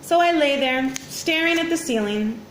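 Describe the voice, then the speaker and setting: A woman reading a children's story aloud in an expressive, dramatic teacher's storytelling manner. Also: high voice, normal speed. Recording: roomy echo, faint background noise.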